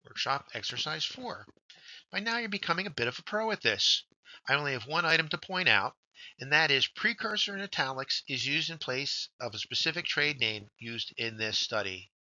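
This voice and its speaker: A man's voice: fairly high pitch, animated, moderate pace, pushed and bright, no room sound, lo-fi sound. A man giving scripted instructional narration as a workshop instructor, guiding learners step by step and addressing them directly.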